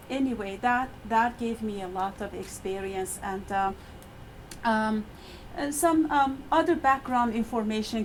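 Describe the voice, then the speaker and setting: A middle-aged woman with an Iranian accent gives a talk to fellow faculty in a university room, her voice tired after a long lecture. She speaks haltingly, with frequent fillers and restarts, at an ordinary pitch for a woman. Faint background noise sits under the recording. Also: poor audio. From Iran. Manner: hesitant, many fillers and restarts, laughs often.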